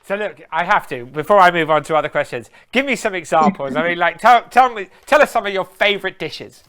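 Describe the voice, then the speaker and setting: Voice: mid-range voice, lively intonation, a little fast, a bit bright. A host running a live interview, full of praise and asking long, rambling questions.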